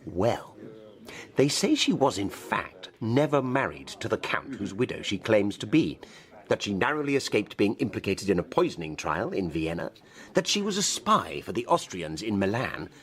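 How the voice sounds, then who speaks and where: An actor playing a wry, polished, teasing Victorian gentleman who relishes gossip, in a radio drama. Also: on the low side, sing-song, moderate pace. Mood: relishing gossip. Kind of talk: period radio drama acting.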